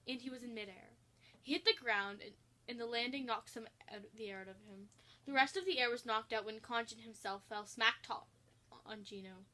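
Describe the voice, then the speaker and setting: A woman narrates a comic fan story in a fairly high, very bright voice. She reads as a storyteller, voicing the prose aloud.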